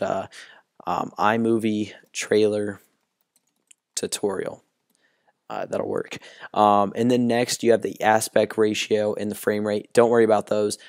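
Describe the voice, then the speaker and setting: A young man with a hoarse, partly lost voice, casually talking through a screen-recording tutorial with lots of ums and uhs. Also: lowish voice, no echo, clean studio sound. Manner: relaxed, full of ums and uhs. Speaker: YouTube tutorial host.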